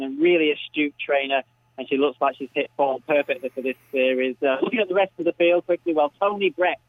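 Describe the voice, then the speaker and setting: Australian male, matey and enthusiastic racing tipster, slightly high voice, phone-quality sound, conversational commentary. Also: dry recording. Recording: thin phone line.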